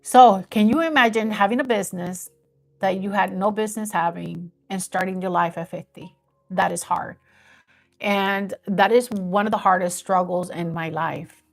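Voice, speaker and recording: Woman in her fifties, gravelly, earnest, rambling live-stream chat. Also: lowish voice. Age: 50s.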